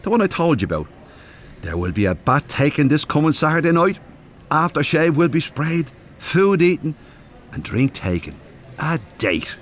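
A man's voice: fairly high pitch, very animated, moderate pace, a little hiss or hum. An actor playing an older Irish man, cheeky and wry, bantering in a naturalistic radio drama. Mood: pleased, playful.

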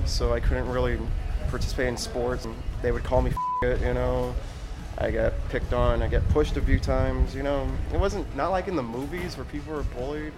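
A man's voice: mid-range voice, rough recording. Hesitant twenty-one-year-old man. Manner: hesitant, restarts sentences. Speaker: student interviewee. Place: outdoors on a college campus.

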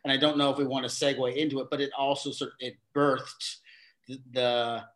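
A man being interviewed about his theater career, talking in a halting way with many restarts and repeated words. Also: medium pitch, little pitch movement, a bit bright. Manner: acts out quoted exchanges in a livelier voice. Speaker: theater director, podcast guest. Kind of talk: casual interview conversation.

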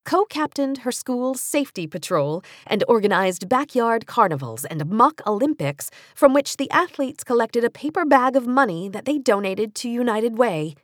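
An American woman reading nonfiction for young readers as an audiobook narrator, measured and carefully articulated, with an expressive storytelling delivery, close on a studio microphone. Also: fairly high pitch, no echo.

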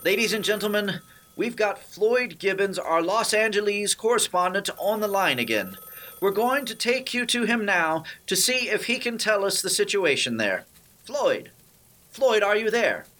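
High, bright actor as a 1930s radio newsman. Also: sing-song, normal speed, exaggerated voice. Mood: urgent, formal. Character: male 1930s radio news anchor, formal, increasingly incredulous. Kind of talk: 1930s radio drama acting, newsreading spoof.

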